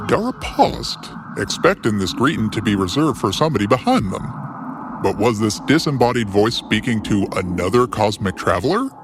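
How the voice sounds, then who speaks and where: A man narrating a scripted fantasy story in a theatrical, whimsical way with deliberate pauses. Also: on the low side, sing-song, background noise.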